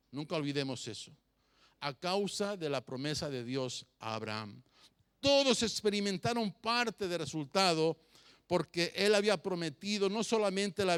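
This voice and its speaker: Male pastor, high smooth voice, emphatic sermon delivery with deliberate repetition. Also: fairly bright tone. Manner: emphatic, repeats phrases for effect; rhetorical questions addressed to listeners. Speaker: pastor preaching to a congregation.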